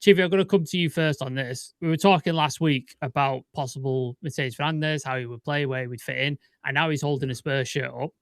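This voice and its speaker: A man's voice: mid-range voice, clear, resonant tone, dry recording, clean studio sound. A man hosting a casual football podcast, chatty and joking with his co-hosts. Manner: chatty, joking, quick interjections. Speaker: podcast host.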